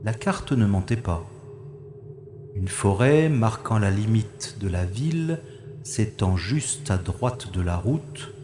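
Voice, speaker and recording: A man playing a space secret agent on a covert mission, deliberately narrating his actions to himself in an audio drama monologue. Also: fairly low pitch, expressive, warm tone. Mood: focused, wary. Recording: roomy reverberant sound with faint noise.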